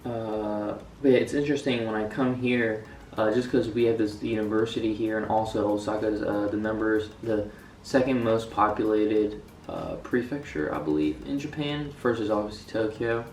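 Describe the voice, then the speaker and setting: A man's voice: fairly low pitch, moderate pace, a little mellow, slight background noise. Rambling young man, echoey room. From United States. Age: young adult. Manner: rambling, many fillers and restarts. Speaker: student vlogger. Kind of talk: casual vlog talk. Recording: echoey room, mic a bit far.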